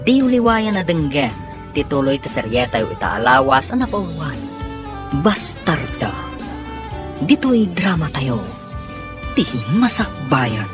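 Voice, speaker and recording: A narrator reading the episode recap and credits as a formal radio drama announcer. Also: medium pitch. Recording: announcer over music bed.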